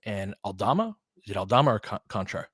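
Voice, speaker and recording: A man's voice: fairly low pitch, big pitch swings, quick pace, husky, no room sound, clean studio sound. A man doing basketball play-by-play commentary in clipped phrases, with informal asides and casual analysis.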